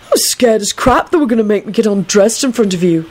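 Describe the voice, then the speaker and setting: A woman's voice: mid-range voice, expressive, quick pace, darkish tone, natural voice. A woman voicing a giddy, self-righteous, medicated teenage boy in an audiobook, rambling in a blithe, earnest way.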